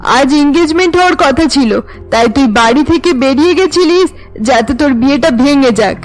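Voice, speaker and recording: A woman's voice: high voice, expressive, moderate pace, faint music behind. A woman playing a harsh middle-aged mother obsessed with family honour in a cartoon, scolding melodramatically. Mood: angry, scolding. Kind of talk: cartoon voice acting.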